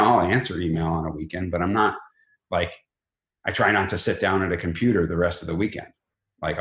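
A middle-aged man talking casually as an interview guest, informal and halting, often rephrasing himself as he goes. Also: deep voice, echoey room. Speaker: company chief executive, podcast guest.

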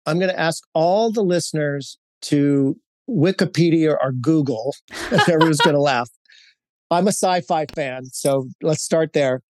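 A man's voice: a little high, a little slow, a little mellow, no echo. Candid middle-aged man, dry sound. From Hawaii, United States. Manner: candid, storytelling, with frequent fillers and restarts. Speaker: guest, corporate executive. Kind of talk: reflective interview answers.

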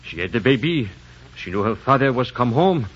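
Earnest, loyal backwoods friend. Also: male voice, mid-range voice, big pitch swings, moderate pace. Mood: grave, confiding. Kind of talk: 1940s radio drama acting. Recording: dull noisy 1940s radio recording.

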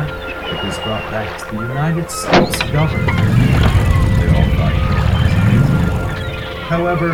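A man narrating a scripted adventure story in a dramatic storytelling style. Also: mid-range voice.

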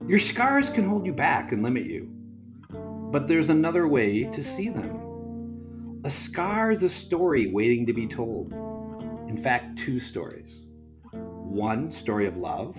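A man reading an essay aloud as a podcast guest, in an earnest, emphatic way. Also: medium pitch. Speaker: guest reader.

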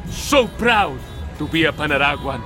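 An actor playing a proud, excitable male revolutionary leader with a Latin American Spanish accent in an audio drama. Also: a little high, moderate pace, music in the background, lightly acted. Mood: excited, proud.

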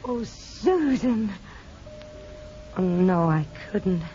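A woman's voice: mid-range voice, expressive, extremely slow, dark tone. An actress playing an anguished, grateful woman torn by conscience in a 1950s radio melodrama. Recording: muffled old radio recording, faint music.

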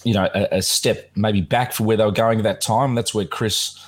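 A man hosting a music podcast, talking casually with a slightly croaky voice and lots of fillers and restarts. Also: fairly low pitch, fairly even intonation, normal speed. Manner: rambling, many fillers and restarts. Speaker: podcast host.

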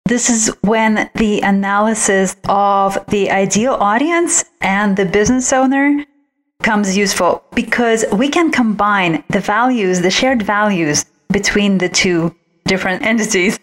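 A woman's voice: medium pitch, a little slow. A woman speaking as an expert guest in an interview, explaining advice in a conversational way. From originally from outside the United States. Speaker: guest, visual branding expert.

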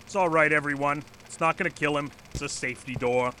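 An actor in an audio drama playing an earnest, stubborn former manager who lectures formally and pleads insistently. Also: male voice, a little high, steady tone, fast talker, pushed and bright, a little hiss or hum. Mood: firm, explaining. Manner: formal, insistent, speech-making. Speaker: presenter at a company meeting. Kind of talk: audio drama acting, satirical dystopian comedy.

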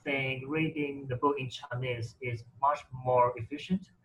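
A man's voice: medium pitch, fairly even intonation, a little slow, a bit bright, a little hiss or hum, rough recording. A man whose first language is Mandarin, talking casually in English with many fillers and restarts. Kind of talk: casual conversation about reading.

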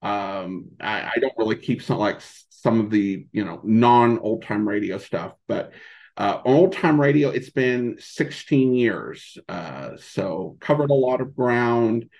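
A middle-aged man, a podcast guest, answering in a casual, halting way and often rephrasing himself. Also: on the low side, expressive, darkish tone. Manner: halting, hesitant, frequently rephrasing. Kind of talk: casual interview answers, reminiscing.

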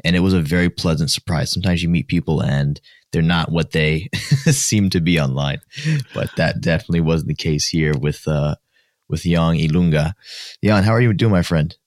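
Low-voiced male host, casual and upbeat, frequent laughs, dry close recording. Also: normal speed, no echo. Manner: upbeat, laughs often, fillers and restarts. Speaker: podcast host. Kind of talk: casual interview chat.

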